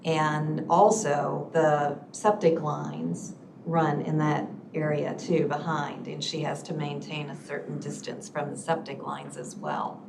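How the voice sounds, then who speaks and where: A woman on county planning staff, explaining permits and site limits at a public zoning hearing in a measured way. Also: low-pitched, little pitch movement, creaky. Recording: echoey room, distant mic, noisy.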